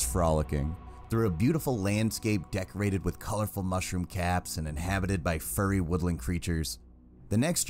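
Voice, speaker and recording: A man reading a scripted video game review as narrator, in a measured, articulate way with wry asides. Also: lowish voice, a little mellow, slight background noise.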